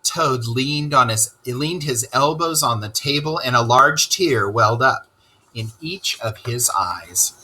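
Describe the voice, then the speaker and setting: A man narrating a children's story aloud in an expressive storytelling style. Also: medium pitch, takes it slowly, slightly rough, low-quality recording.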